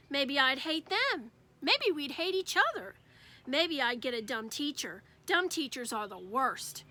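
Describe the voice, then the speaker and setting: Lady reading a kids book out loud, high bright voice, expressive. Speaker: read-aloud storyteller.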